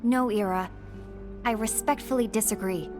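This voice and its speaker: An actress playing a calm, reasoned woman who speaks in a composed, polite way. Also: medium pitch, moderate pace, natural voice. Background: game score music.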